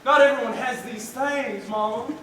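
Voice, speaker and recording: Teen girl doing innocent dramatic daughter on stage, bright voice, far from mic. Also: fairly high pitch, normal speed, lightly acted. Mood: sweetly cheerful. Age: teenager. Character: sweet naive young melodrama daughter, earnest and dramatic. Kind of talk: high school stage melodrama. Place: large school theatre stage, filmed from afar. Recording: echoey auditorium from far off, faint noise.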